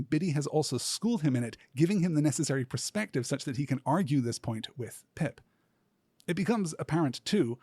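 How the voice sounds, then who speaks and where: A man narrating scripted literary commentary as a podcast host, with measured, literary phrasing and deliberate pauses. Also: mid-range voice, darkish tone, breathy edge, no echo.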